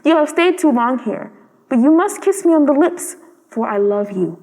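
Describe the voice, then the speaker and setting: A woman's voice: sits high, expressive, moderate pace, dark tone. A woman voicing a kind, sorrowful prince statue in a storybook, solemn and affectionate as he gives instructions. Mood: tender. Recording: long hall-like reverb.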